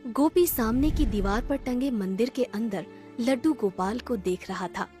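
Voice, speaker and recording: A woman's voice: on the high side, unhurried, mellow, soft background music. A woman narrating a scripted children's story.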